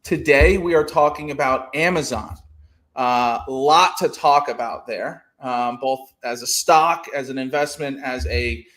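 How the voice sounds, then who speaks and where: A man's voice: mid-range voice, measured pace, fairly bright tone. A man hosting a casual trading podcast over a video call, rambling with lots of fillers and restarts. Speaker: podcast host.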